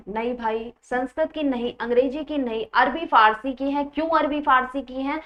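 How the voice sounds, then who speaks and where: A woman's voice: sits high, lo-fi sound. A woman teaching an exam-prep grammar lesson in an instructional way, asking students questions and repeating key points. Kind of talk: Hindi grammar lesson, explaining quiz questions.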